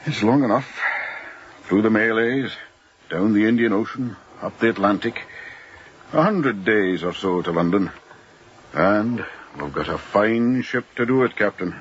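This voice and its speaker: An old first mate on a merchant ship, fussy and sure of his opinions. His lowish voice swings widely in pitch as he makes each point. It is a radio play with slightly muffled sound. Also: expressive, unhurried, pauses often. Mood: dutiful, plain. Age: older. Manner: ponderous, emphatic, fussy, swooping delivery. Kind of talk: radio drama acting. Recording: dull, lo-fi radio drama recording.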